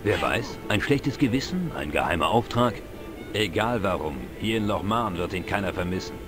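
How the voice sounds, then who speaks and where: A male actor voices an older village know-all at the pub in an adventure game. He explains things drily in a lowish, measured voice, with clear music behind him. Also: expressive, lightly acted. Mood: wry, informative. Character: older, knowledgeable, wry Irish pub regular. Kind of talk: dubbed adventure game dialogue.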